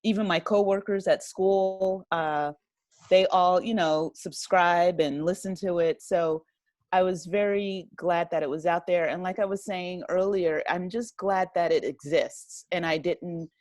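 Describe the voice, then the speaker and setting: A woman's voice: lowish voice, fairly even intonation, a little slow, darkish tone, no room sound. A woman speaking as an interview guest, reflective and appreciative, in a casual conversational style. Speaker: interview guest, writer and director.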